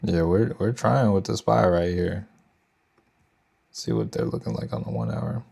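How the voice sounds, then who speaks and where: A man's voice: sits low, big pitch swings, unhurried, creaky, warm tone, slightly rough. A young man with a Texan accent, casually thinking aloud and chatting with viewers on a trading livestream. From Texas. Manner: thinks aloud, loose slangy talk to chat. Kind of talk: casual live market commentary.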